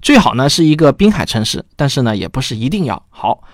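A man's voice: mid-range voice, big pitch swings, quick pace, dry recording, studio quality. A man hosting a show, addressing listeners in an orderly, explanatory way. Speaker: show host and producer. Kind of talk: scripted podcast talk addressed to listeners.

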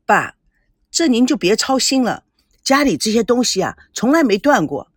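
A woman's voice: on the high side, sing-song, normal speed, husky, dry recording, studio quality. A woman voicing a respectful, dutiful middle-aged son in an audiobook, polite and hesitant.

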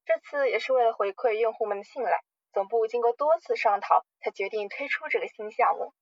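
Woman playing a high-voiced, smooth-talking loan manager. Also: a little fast, no room sound, muffled recording, natural voice. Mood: persuasive, reassuring. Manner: polite, formal, persuasive sales patter. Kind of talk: amateur radio drama acting.

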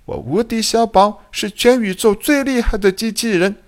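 A man's voice: sits high, normal speed, voice pushed up, close to an everyday voice. A man voicing a cocky, foul-mouthed robot in an audiobook, boastful and scolding. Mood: indignant boasting.

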